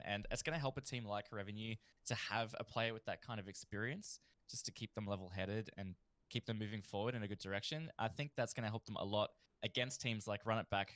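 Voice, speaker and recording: An Australian man provides colour commentary on an esports match. He speaks in a fairly low voice, explaining tactics in a conversational, analytical manner. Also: fairly bright tone, no room sound.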